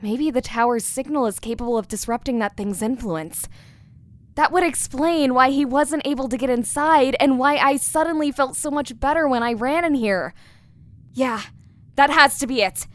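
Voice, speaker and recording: A woman's voice: sits high, moderate pace, close to an everyday voice. A woman playing a shaken but hopeful survivor in a horror audio drama, thinking aloud to herself. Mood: hopeful, reasoning.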